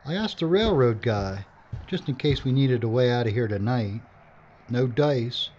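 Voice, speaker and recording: A man's voice: medium pitch, animated, measured pace, darkish tone. An actor playing a practical, pedantic man in an audio drama, matter-of-fact and wry. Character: practical, pedantic man filmmaker, quick to correct. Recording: dull lo-fi audio, faint noise.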